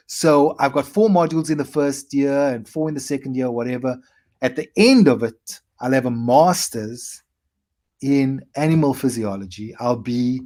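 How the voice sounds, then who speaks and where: A South African man in his forties, a comedian telling his story in a casual interview and acting out his past self in quick asides. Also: medium pitch, a little mellow. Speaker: comedian guest.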